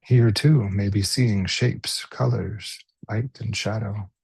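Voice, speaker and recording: A man leading a guided body scan meditation as a teacher, speaking gently and unhurriedly with pauses. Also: on the low side, fairly even intonation, vocal fry, husky, no room sound.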